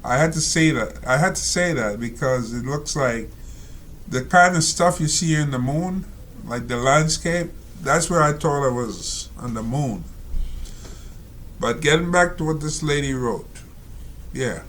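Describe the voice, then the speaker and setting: A man reading out and commenting on a reader's letter, talking slowly and rambling with many fillers and asides. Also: medium pitch, animated, a bit bright, a little hiss or hum. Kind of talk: casual spoken commentary.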